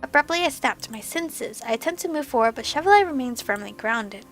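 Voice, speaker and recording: A young woman hosting a let's play, reading a visual novel aloud with a hoarse, fading voice and casual asides. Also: high-pitched, expressive, fairly quick, faint music behind. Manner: casual asides between earnest narration. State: hoarse, losing her voice.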